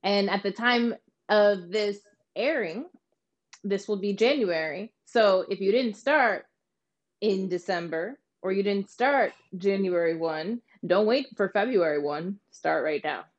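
A woman's voice: medium pitch, a little slow. An upbeat woman hosts an interview, asking questions and encouraging her guest. Her voice has a muffled, lo-fi quality. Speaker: interviewer, host. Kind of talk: casual interview.

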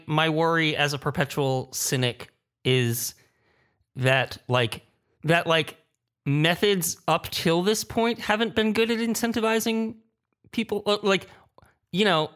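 A man's voice: on the high side, measured pace, a bit bright. A man speaking informally and conversationally as a panelist in a roundtable discussion.

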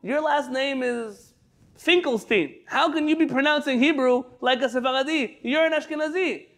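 A man's voice: extremely high pitch, moderate pace, a bit bright, voice pushed up. A man acting out a pushy man who scolds a newcomer about keeping his family's custom. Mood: scolding. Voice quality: insistent, scolding.

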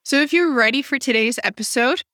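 A woman's voice: high voice, brisk, pushed and bright, no room sound, studio quality. A woman hosting a podcast, reading a polished intro and asking her guest questions. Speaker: podcast host. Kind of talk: scripted intro and interview questions.

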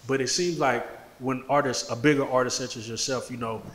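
A man's voice: mid-range voice, fairly even intonation, brisk, fairly bright tone. A man co-hosting a casual interview, asking a personal question carefully and with hedges. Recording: echoey room with hiss.

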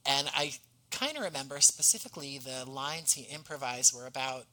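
This is a man with a bright, forward voice, talking as a podcast guest. He explains his work conversationally but in articulate, measured sentences with a touch of formality. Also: medium pitch, poor audio. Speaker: podcast guest, audio drama writer.

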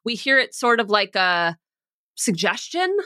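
A woman working as a life coach, explaining ideas in an animated way and acting out the questions that run through one's head. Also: mid-range voice, unhurried, clear, resonant tone, no echo, clean studio sound. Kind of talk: coaching conversation.

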